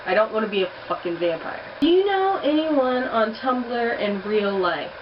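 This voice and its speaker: A woman's voice: a little high, animated, moderate pace. Chatty young woman, distant muffled heater hum. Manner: chatty and blunt, swears casually. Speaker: vlogger. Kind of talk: casual accent challenge vlog. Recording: distant muffled home recording with heater noise.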